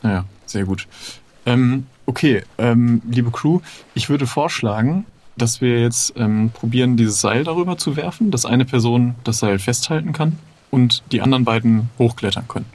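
A man's voice: medium pitch, moderate pace, darkish tone. Man thinking aloud in a roleplay. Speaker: player leading an improvised pirate adventure game. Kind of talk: improvised tabletop roleplay talk.

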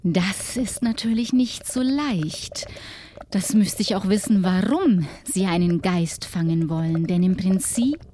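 A woman acts out a solitary, mysterious keeper of ghosts in a tabletop roleplay. She talks very slowly and deliberately in a soft, dark tone with formal, old-fashioned wording. Faint noise sits behind her. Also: medium pitch, lively intonation, lightly acted. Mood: mysterious, explaining. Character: solitary mysterious hut-dwelling woman guarding pirate ghosts.

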